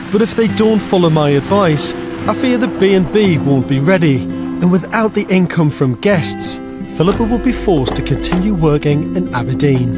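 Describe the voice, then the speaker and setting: A man presents a scripted documentary in a fairly high male voice. His narration runs over clearly audible music. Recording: music behind the voice.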